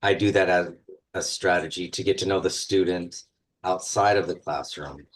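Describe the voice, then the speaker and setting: Man, very low voice, instructor on a panel, casual and thoughtful. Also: moderate pace. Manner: conversational, thoughtful, many fillers. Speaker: university instructor. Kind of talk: panel discussion.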